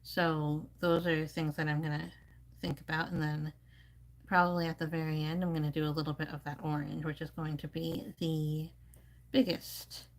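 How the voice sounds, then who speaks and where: A woman with a low voice and plenty of vocal fry chats casually through a painting session. She thinks aloud in a loose, rambling way, explaining her choices as an art streamer would. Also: little pitch movement, normal speed, poor audio. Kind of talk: casual live painting commentary.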